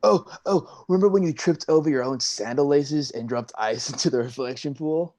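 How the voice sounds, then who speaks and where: A man's voice: fairly high pitch, moderate pace, warm tone, close to an everyday voice. A man playing a laid-back, teasing young sea god with surfer slang in a staged play reading. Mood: playful.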